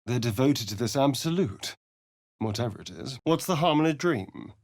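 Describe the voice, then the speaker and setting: Casting: male actor as a suave, sardonic male vampire rogue for a fantasy game. Arch and dramatic, very lively pitch, dry close sound. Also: moderate pace, no room sound, close to an everyday voice. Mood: measured, brooding. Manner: theatrical, arch, dramatic delivery. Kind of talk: fantasy video game voice acting.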